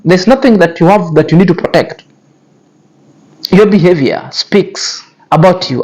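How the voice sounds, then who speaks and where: A man's voice: sits high, darkish tone, low-quality recording. A man hosting a commentary channel, talking in a cutting, repetitive, lecturing way. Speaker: YouTube commentary host. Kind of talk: scornful commentary on influencer drama.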